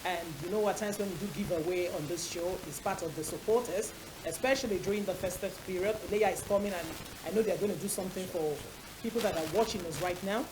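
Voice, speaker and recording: A woman's voice: on the low side, quick pace. A woman with a Nigerian accent hosting a talk show in a chatty, emphatic way, repeating phrases for stress. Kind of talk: chatty studio presenting. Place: TV studio set. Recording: hissy, clearly noisy audio.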